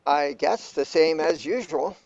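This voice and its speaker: A man's voice: on the high side, unhurried, darkish tone. A man playing a laid-back, philosophical character who explains things matter-of-factly in an amateur audio drama. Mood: unfazed. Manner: casual, philosophical, unfazed. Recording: dull lo-fi dry recording.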